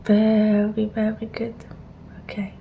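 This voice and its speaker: A woman doing an ASMR roleplay as a technician, speaking softly and gently in murmured reassurances and instructions, often slipping into a breathy whisper. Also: mid-range voice, completely flat delivery, takes it slowly, a little hiss or hum. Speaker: ASMR roleplayer playing a technician who examines a robot. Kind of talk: ASMR roleplay, soft personal attention.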